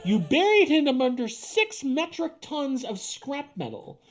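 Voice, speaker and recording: A woman's voice: high-pitched, very animated, measured pace. A woman co-hosting a table read, narrating stage directions with sardonic, sweary and incredulous asides. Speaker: podcast co-host reading stage directions. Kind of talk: script narration with casual sarcastic commentary.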